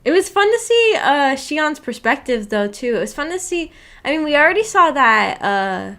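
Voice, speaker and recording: A woman's voice: high voice, very animated, moderate pace, bright, forceful tone. A woman hosting a book club livestream, chatting casually in a giggly, rambling way and often questioning herself. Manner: giggly, laughs often while talking; rambling, self-questioning, puzzled. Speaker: streamer hosting a visual novel book club. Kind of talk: casual livestream chat reacting to a story.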